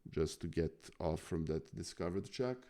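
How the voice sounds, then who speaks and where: A man's voice: low-pitched, expressive, normal speed, dark tone. A man from Serbia with a Serbian accent, thinking aloud hesitantly as a chess student on a live stream. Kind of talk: casual chess lesson chat.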